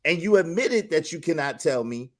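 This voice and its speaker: A man hosting an online panel discussion, making a measured but insistent argument with frequent restarts. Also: fairly high pitch, expressive. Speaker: panel host. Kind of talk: heated panel debate.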